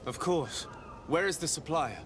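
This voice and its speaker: An actor playing an earnest young nobleman and dutiful son in a video game, polite, measured and formal. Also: on the high side, animated, fairly bright tone, a little hiss or hum. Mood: calm, courteous. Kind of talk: video game drama acting.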